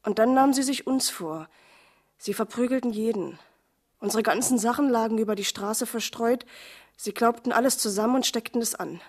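An actress playing a shaken civil rights activist giving intense, vivid testimony of violence in a radio drama. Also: female voice, on the high side, normal speed, a little mellow.

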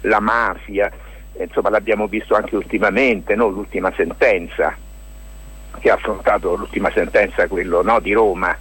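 A man with a fairly deep, rough-edged husky voice answers as a radio interview guest. He talks in a halting, spontaneous way, repeating himself and seeking confirmation as he goes. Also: steady tone, fairly bright tone. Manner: halting, circling back with check-in tags like "capito" and "no?". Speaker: lawyer and stage author, interview guest.

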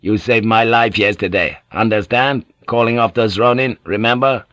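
A man voicing a scheming warlord who speaks halting, clipped English. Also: lowish voice, fairly even intonation, dry recording, highs cut off, natural voice. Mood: weary, earnest. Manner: clipped broken English, insistent, softly persuasive.

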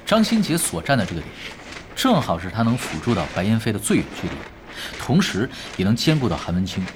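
An actor playing a laid-back young esports expert in an audio drama, casual, patient and teasing, explaining in an analytical way. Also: male voice, fairly low pitch, very animated, a little fast, background noise.

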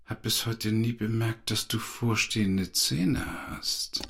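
A man's voice: on the low side, slow pace, creaky, noisy background. A man playing a cold, joyless middle-aged father in a radio play, curt and discouraging. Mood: cold, dismissive.

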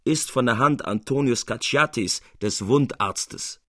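A man's voice: mid-range voice, unhurried, a bit bright, no room sound, studio quality. A man voicing a bold, sardonic master painter with an emphatic, commanding delivery in a literary reading. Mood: proud, challenging.

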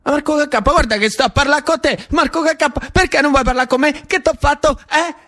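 A man's voice: very high-pitched, brisk, pushed and bright, voice pushed up. A man playing a taunting, insistent schoolboy bully in a solo stage monologue, repeating himself as he badgers. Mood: pushy, needling.

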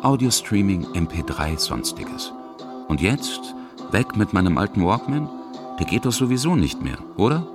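A man's voice: lowish voice, big pitch swings, normal speed. A man narrating a scripted radio feature, putting questions as he goes. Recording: music under the voice. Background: music.

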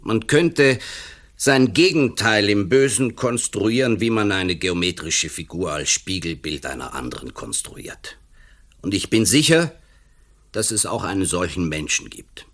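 An intellectual writer with a deep voice. He philosophises slowly and emphatically, brooding and faintly malicious, in radio play style. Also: very animated, a bit bright, exaggerated voice. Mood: intense, philosophical.

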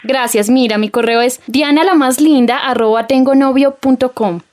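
A woman's voice: sits high, fairly even intonation, normal speed, darkish tone, close to an everyday voice. A woman playing an eager, fretting young girlfriend with a Colombian accent in a radio soap.

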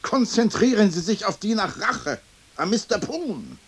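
Pompous, swooping, husky lord. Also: male voice, high voice, sing-song, measured pace, exaggerated voice. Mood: urgent. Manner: pompous, theatrical declamation. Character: haughty, indignant lord turning into a bird, vengeful. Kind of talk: stylized German radio play acting.

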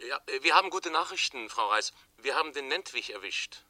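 An actor playing a polite, methodical police inspector who asks probing questions in a German radio crime drama. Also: male voice, mid-range voice, animated, brisk, bright, forceful tone, no echo. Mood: polite, businesslike.

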